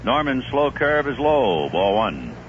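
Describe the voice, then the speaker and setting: 1970s radio baseball announcer, lively pitch. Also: male voice, slow pace. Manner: relaxed storytelling delivery, rising sharply on big plays. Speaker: play-by-play announcer. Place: broadcast booth at a stadium. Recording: muffled 1970s radio broadcast with noise.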